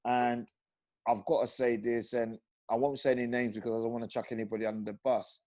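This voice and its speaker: A man, a guest on a video-call panel, talking passionately and rising to loud emphatic peaks. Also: medium pitch, level tone, a little fast. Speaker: panel guest, former professional footballer.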